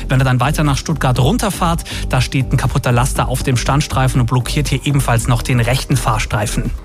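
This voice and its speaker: A man's voice: medium pitch, steady tone, a little fast. A man presenting an upbeat traffic report on the radio. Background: music bed.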